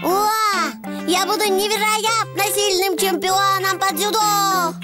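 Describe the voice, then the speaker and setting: A performer voicing a cheerful, excitable little donkey boy in a preschool cartoon dub, childlike and playful. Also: very high-pitched, slow pace, music in the background. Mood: proud, excited.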